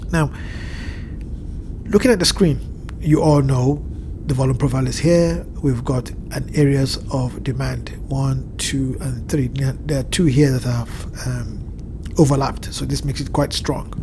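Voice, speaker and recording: A man with a blocked nose and a slightly nasal voice, walking through a chart with measured explanations and frequent pauses to find his words. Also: mid-range voice, steady tone, noisy background. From Southern Hemisphere. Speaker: crypto market analyst. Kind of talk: screen-share chart walkthrough. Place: home at evening, indoors.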